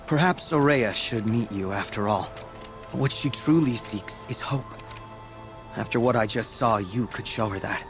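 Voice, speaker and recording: An actor playing a humble, earnest apprentice shaman in a video game, speaking in a measured, solemn way. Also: lowish voice, music in the background. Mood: solemn, guiding. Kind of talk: video game dialogue acting.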